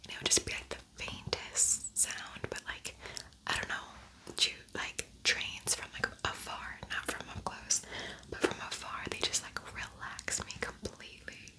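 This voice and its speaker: A woman speaking in a breathy whisper, chatting informally and softly as she hosts an ASMR makeup tutorial. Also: measured pace, rough recording. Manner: soft-spoken, informal, chatty. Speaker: beauty ASMR video creator.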